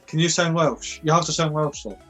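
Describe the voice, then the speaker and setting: A man's voice: a little high, brisk, a bit bright, soft background music. A young man co-hosting a casual podcast, laid-back and swearing casually. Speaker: podcast co-host.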